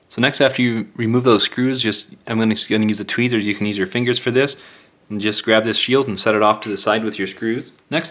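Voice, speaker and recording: A man's voice: medium pitch, fairly bright tone. A man narrating a step-by-step repair tutorial in an informal, plain-spoken, explanatory way. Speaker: phone repair tutorial presenter. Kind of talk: hands-on step-by-step instructional narration.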